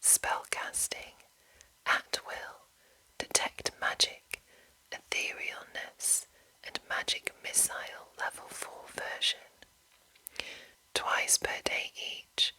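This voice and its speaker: A woman whispering in a breathy, unvoiced voice, softly and deliberately reading aloud as an ASMR host. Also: rough recording. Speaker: ASMR video host and narrator.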